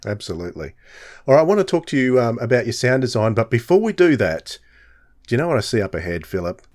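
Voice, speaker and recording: A man's voice: medium pitch, big pitch swings, fast, a little mellow. A man hosting a podcast, chatting informally and leading into questions in a playful, roundabout way. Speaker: podcast host, interviewer. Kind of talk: casual chat, informal questioning.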